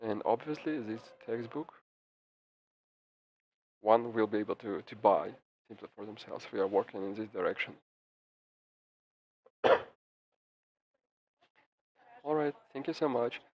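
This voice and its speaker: A man giving live interpretation of a press briefing, halting with frequent restarts and fillers. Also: on the low side. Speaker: simultaneous interpreter. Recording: slightly muffled with faint background noise.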